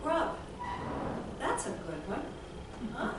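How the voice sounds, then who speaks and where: A woman's voice: sits high, huge pitch swings, takes it slowly. A woman hosting a small group event, introducing a speaker off the cuff and prompting listeners with short tag questions. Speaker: host introducing an author. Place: indoor event room at an arts center. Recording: very echoey, noisy room, distant microphone.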